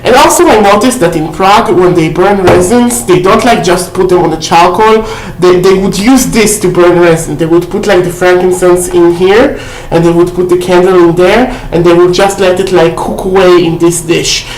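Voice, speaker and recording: A woman's voice: on the low side, fairly even intonation, moderate pace, few pauses, a little mellow. A woman casually showing off a shopping haul on her vlog, chatty and full of fillers, often checking in with "okay?". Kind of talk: casual haul video chat. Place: indoor room at home. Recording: roomy echo.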